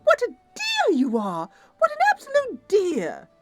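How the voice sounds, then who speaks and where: Very high, excitable little nixie. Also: female voice, a little slow, music in the background, exaggerated voice. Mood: gushing delight. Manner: theatrical, gushing, bargaining. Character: tiny excitable playful female water nixie. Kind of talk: tabletop fantasy roleplay character.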